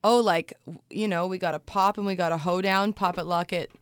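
A woman's voice: lowish voice, fairly even intonation, brisk. A woman chats casually as a musical guest, playful, jokey and self-deprecating. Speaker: singer-songwriter guest. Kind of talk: casual interview chat. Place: radio studio. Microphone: studio microphone.

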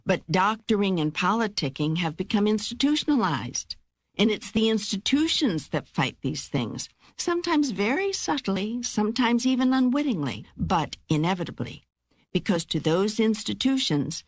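A woman playing God as a wry, wise, reassuring spirit in an audiobook dialogue, with a measured, assured, instructive delivery. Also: medium pitch, sing-song, no echo. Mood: firm, insistent.

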